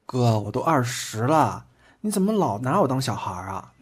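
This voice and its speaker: Man acting a husky, playful young boyfriend, lively pitch. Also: moderate pace. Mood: bashful. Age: young adult. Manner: teasing, colloquial. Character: playful, devoted twenty-year-old boyfriend, teasing and boyish. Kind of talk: audio drama acting, intimate banter. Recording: background music under the voice.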